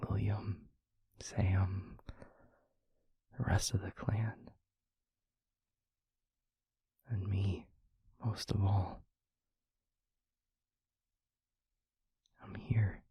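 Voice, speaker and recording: A man playing a gentle, devoted vampire boyfriend in an intimate audio roleplay, speaking earnestly and haltingly in a breathy, husky near-whisper. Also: fairly low pitch, dry recording, heavily acted. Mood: tender, reassuring. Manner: slow, halting, tender reassurance.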